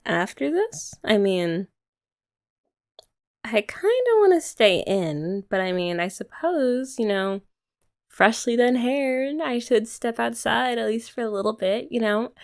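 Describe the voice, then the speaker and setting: A young woman in an ASMR girlfriend roleplay, talking softly and teasingly to the listener. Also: fairly high pitch, animated, measured pace. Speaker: ASMR creator.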